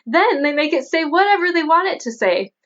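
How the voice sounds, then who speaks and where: Woman reader doing an odd earnest young churchy girl in an audiobook, highish voice, totally matter-of-fact, dull audio. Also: very animated, fairly quick, a bit bright. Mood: dogmatic, assured. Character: eccentric, earnest, devout young blonde woman. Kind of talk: audiobook character dialogue.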